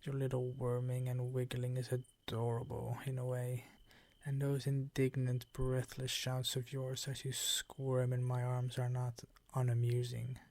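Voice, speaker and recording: Man playing a slow, gravelly vampire count. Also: medium pitch, completely flat delivery, a little mellow, close to an everyday voice. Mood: smug, amused. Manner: slow, ornate, condescending seductive purr. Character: suave, menacing older male vampire count, possessive and hungry. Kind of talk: ASMR roleplay monologue.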